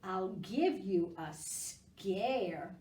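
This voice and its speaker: A woman voicing playful spooky Halloween creatures from a picture book, theatrical and drawn-out, with loud exclamations. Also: medium pitch, lively intonation, a little hiss or hum. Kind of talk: children's picture-book character reading. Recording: roomy echo, distant microphone.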